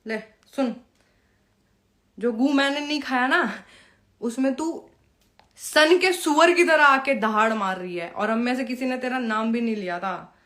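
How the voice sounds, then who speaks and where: A woman's voice: on the high side, unhurried, pauses often, fairly bright tone. A woman talking informally to camera in a confrontational, scolding and taunting way, with rhetorical questions. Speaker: live video host.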